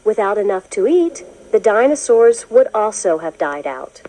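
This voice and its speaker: A woman narrating a children's educational book, reading in a clear, measured, explanatory way as if to young listeners. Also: mid-range voice, very animated, mellow, low-quality recording. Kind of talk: scripted storybook narration.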